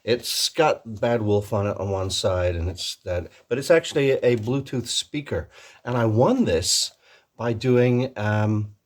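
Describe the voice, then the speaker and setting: A man's voice: on the low side, a little slow. A man chatting casually as a video host in a put-on American accent, rambling and joking at his own expense. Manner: rambling, jokey, self-deprecating. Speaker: host of a Doctor Who fan video channel. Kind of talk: casual comic vlog chat.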